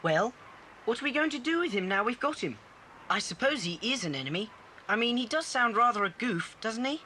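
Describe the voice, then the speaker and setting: A sensible boy adventurer in a children's tape drama. The delivery is practical and cautious in a bright, clear-edged tone. There is faint tape hiss behind. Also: mid-range voice, lively intonation, a little fast. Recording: faintly hissy old cassette tape.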